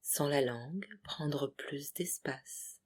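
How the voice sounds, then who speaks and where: Very slow, breathy yoga nidra guide. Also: mid-range voice. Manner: soothing, hushed, with short separated phrases. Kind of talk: guided relaxation meditation.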